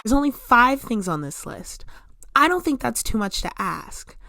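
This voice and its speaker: A young woman chatting casually to camera as a vlogger at home, expressive, with lots of "like" fillers and sudden bursts of emphasis. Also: a little high, normal speed, vocal fry, noisy background. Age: young adult. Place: room in her home.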